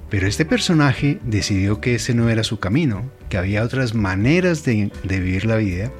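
Middle-aged male radio host, low voice, thoughtful, pauses and fillers. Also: animated, soft background music. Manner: reflective, halting with frequent fillers. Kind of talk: radio interview.

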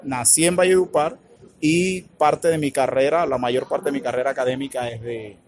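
A man with a Colombian Caribbean accent, answering interview questions in a halting, thoughtful way with many filler words. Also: medium pitch, fairly even intonation, darkish tone. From Colombia's Caribbean region. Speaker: author and academic guest. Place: radio booth at a book fair stand.

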